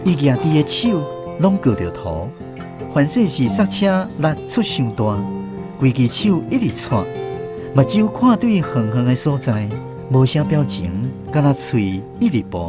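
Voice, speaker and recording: A man with a Taiwanese accent narrating a scripted radio drama as a grown son, reflective and expressive. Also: medium pitch, unhurried. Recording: music behind the voice.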